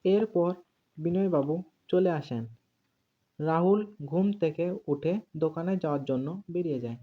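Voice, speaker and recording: A man's voice: a little high, normal speed. A man narrating a cartoon story from a script as the storyteller. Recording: narrow, phone-like muffled sound.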